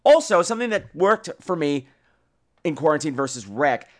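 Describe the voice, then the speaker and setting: A man hosting a podcast, giving casual, opinionated and profane film commentary in an animated way. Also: a little high, normal speed. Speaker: podcast host.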